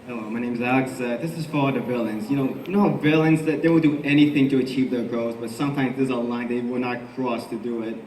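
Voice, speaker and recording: A man's voice: mid-range voice, fairly even intonation, quick pace, hardly any pauses, a little mellow. A man from the audience asking an informal question at a convention panel. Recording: distant, echoey hall, faint noise.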